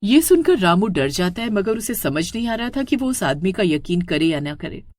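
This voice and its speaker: A woman's voice: medium pitch, big pitch swings, normal speed, a little mellow, no room sound, clean studio sound. A woman narrates a scripted horror story in a dramatic, suspenseful way.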